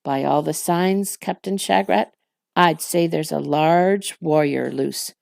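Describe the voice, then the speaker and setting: Woman doing a sardonic, slow-talking orc captain. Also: sits low, creaky, a little mellow, no echo, studio quality, full character voice. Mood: sardonic warning. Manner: sardonic, needling. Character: sly, mocking, gloomy male orc captain.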